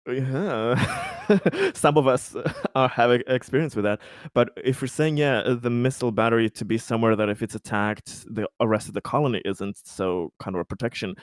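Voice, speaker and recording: A man with a mid-pitched voice banters casually as a player in a tabletop game. He has a jokey manner and restarts his phrases. The sound is close and dry. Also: normal speed, dry recording. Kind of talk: casual joking chat.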